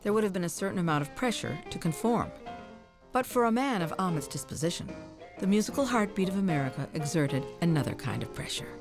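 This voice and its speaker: A woman reads scripted documentary narration in a measured, formal storytelling manner. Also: on the low side, animated, over loud music.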